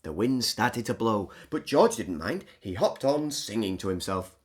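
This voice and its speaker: A woman reading a children's storybook aloud as an expressive narrator. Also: extremely low pitch, unhurried, breathy edge. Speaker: storybook reader.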